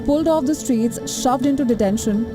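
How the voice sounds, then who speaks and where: A woman reporter narrates a news package with dramatic, emphatic phrasing. Her voice sits over a clear music bed. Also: high voice, brisk.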